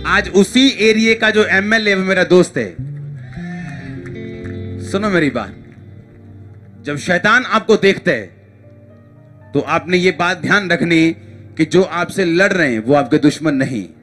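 A man's voice: sits high, animated, unhurried, pauses often, sharp tone, soft background music. A middle-aged man preaching an impassioned sermon as a pastor, emphatic and exhorting, repeating phrases for effect. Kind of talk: impassioned church sermon to a congregation.

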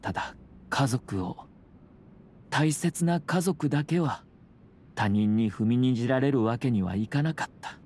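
An actor playing a polite young clan head in an anime game, speaking in a measured, formal way. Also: male voice, medium pitch, expressive, darkish tone, slight background noise, close to an everyday voice. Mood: solemn, calm. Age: young adult. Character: composed, courteous young noble clan head. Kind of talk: Japanese anime game voice acting. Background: music.

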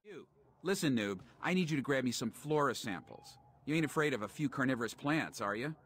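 An actor playing a gruff, hostile boss in a video game, curt and condescending toward newcomers. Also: male voice, medium pitch, expressive, a little fast, a bit bright. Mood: scornful. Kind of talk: dramatic video game dialogue.

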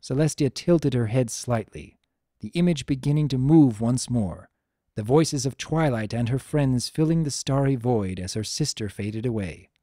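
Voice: medium pitch, expressive, normal speed, warm tone, no room sound, clean studio sound. A narrator giving a dramatic reading of a story in a scripted narration style.

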